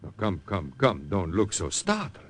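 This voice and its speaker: A suave, scheming police count, lowish and silky, swooping freely through his pitch as he coaxes. Old radio drama delivery, smooth and sly. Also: wildly swooping pitch, normal speed, exaggerated voice. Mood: coaxing. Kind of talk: 1940s radio acting.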